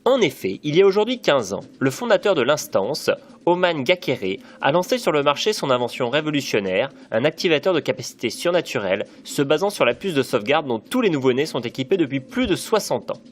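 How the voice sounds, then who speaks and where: Guy doing a showy tv news reporter for an audio drama, solemn and formal, very animated pitch. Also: brisk, faint music behind. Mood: solemn, celebratory. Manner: formal, emphatic presenting.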